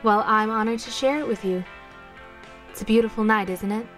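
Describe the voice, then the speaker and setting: A woman's voice: fairly high pitch, brisk, faint music behind, lightly acted. A woman playing a serene, affectionate, protective witch in an audio drama. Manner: calm, affectionate.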